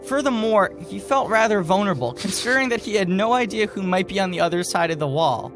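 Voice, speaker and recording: A man's voice: sits high, expressive, fast, music in the background. A man co-hosting a podcast, giving a mock-serious dramatic reading and breaking off into casual, amused asides. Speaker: podcast co-host reading aloud.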